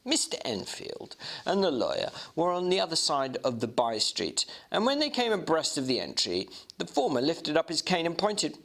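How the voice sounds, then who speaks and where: A man giving an expressive, theatrical dramatic reading of a classic novel. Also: a little high, moderate pace, creaky, few pauses. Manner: expressive, theatrical storytelling delivery. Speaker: reader and commentator.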